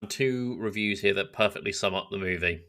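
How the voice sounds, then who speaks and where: A man's voice: lowish voice, fairly quick, pushed and bright. A man co-hosting a podcast, chatting casually and bluntly. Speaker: podcast co-host. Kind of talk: casual chat.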